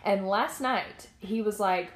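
A woman from Tennessee, hosting a casual chat, animated and emphatic. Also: medium pitch, slow pace, reverberant. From Nashville, Tennessee, US. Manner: animated, emphatic, warm affirmations.

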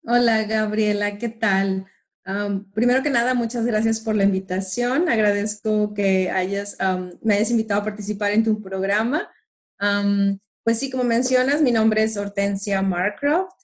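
Woman, medium pitch, interview guest, hesitant, friendly, lots of fillers and restarts. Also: fairly even intonation, a little slow. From Monterrey, Mexico. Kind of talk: casual interview answers.

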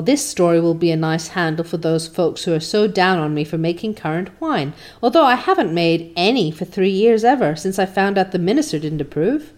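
A woman voicing a stern, brisk older spinster who scolds curtly and dryly. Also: on the low side, a little mellow, natural voice. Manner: curt, scolding, dry.

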